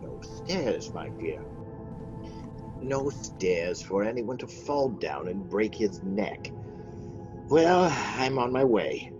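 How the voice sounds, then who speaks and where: An actor playing a sardonic family doctor in a radio mystery, with a dry, measured manner. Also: male voice, fairly low pitch, sing-song, highs cut off, music in the background. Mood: dry authority. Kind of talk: radio mystery drama acting.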